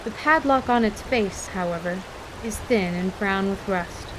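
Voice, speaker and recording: Casting: woman narrator, average pitch, scripted fantasy storytelling with a clear rain and stream bed. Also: a little slow, background noise. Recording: steady rain and stream ambience behind.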